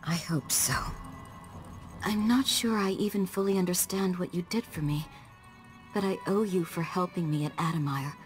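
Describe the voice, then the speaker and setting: A woman playing a frail, remorseful doctor in a video game, speaking in a halting, subdued way. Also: fairly low pitch, faint music behind, lightly acted. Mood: weary, remorseful. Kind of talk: video game cutscene acting.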